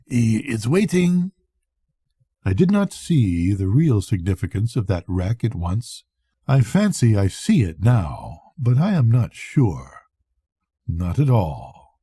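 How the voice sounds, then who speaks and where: An older man reads a classic novel aloud as a storyteller, in a measured, reflective bedtime manner with a soft, husky voice. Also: fairly low pitch, wildly swooping pitch, no room sound, clean studio sound. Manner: measured, reflective first-person narration. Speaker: storyteller reading to grandchildren. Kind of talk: expressive bedtime audiobook reading of a classic novel.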